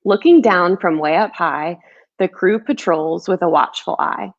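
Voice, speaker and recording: A woman children's author with a fairly low voice. There is noticeable creak in it, and the recording sounds a little dull and lo-fi. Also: unhurried. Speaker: children's book author reading own book. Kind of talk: storybook read-aloud, rhyming, then casual chat.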